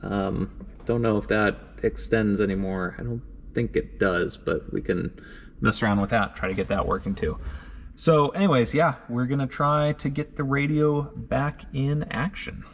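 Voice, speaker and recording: A man's voice: mid-range voice, moderate pace, vocal fry. A man narrating a hands-on vlog in an informal way, thinking aloud as he examines things. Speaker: car owner and vlogger.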